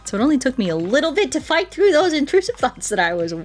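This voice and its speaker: A woman's voice: high-pitched, very animated, fast talker. Chatty, playful woman streaming a game. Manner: playful, rambling, talks to chat. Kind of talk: casual livestream chat while playing a game. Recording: music behind the voice. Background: music.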